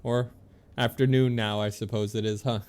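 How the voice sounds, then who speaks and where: A man's voice: medium pitch, flat delivery, normal speed, a little mellow, clear, resonant tone. A man giving casual livestream commentary on a video game, easygoing and chatty as he thinks aloud and reads out on-screen text. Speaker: game streamer.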